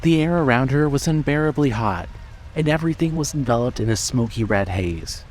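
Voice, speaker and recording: A man's voice: mid-range voice, expressive, a little fast, poor audio. A man narrating a scripted horror story in a dramatic style. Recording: loud ambient noise behind voice.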